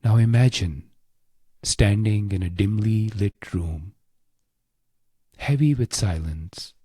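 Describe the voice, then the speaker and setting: A man leads a guided meditation in a low, soft-edged, mellow voice. He speaks very slowly and deliberately, with a hushed, solemn delivery and long pauses. The sound is close and dry. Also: slightly rough, no room sound. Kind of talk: slow scripted guided meditation.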